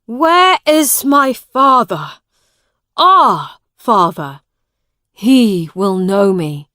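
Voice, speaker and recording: A woman's voice: mid-range voice, very animated, drawn-out words, a bit bright, no echo, studio quality, heavily acted. A woman reading a storybook, voicing a proud, hot-tempered man who insists on his claim. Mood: insistent.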